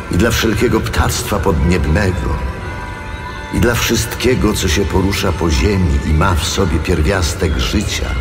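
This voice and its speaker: An actor playing a solemn, commanding God, proclaiming deliberately in an audio Bible drama. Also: male voice, low voice. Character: God the Creator, solemn, majestic, commanding male voice. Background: loud music.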